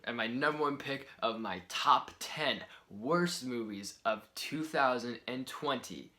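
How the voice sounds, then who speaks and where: Man, bright tone, soft near-whisper, exasperated rambling movie rant, casual commentary. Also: mid-range voice, unhurried. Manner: exasperated, rambling, many fillers. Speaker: movie reviewer.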